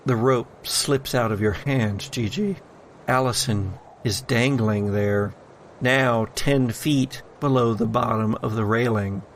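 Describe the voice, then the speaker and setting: A man narrating a tabletop horror game as game master, in deliberate phrases with pauses between them. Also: mid-range voice, slight background noise.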